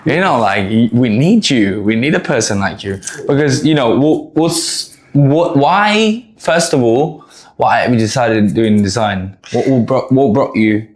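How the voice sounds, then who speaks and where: A man's voice: mid-range voice, expressive, a little slow. A man hosting a podcast while cutting a guest's hair, chatty and asking questions, restarting his words often. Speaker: podcast host and barber. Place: barbershop.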